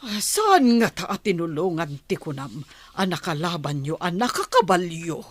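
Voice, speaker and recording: A woman with an Ilocano Filipino accent playing a chief's stern, questioning wife and mother in a radio drama, her voice husky. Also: on the low side, big pitch swings, normal speed, no room sound. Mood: questioning, cautioning.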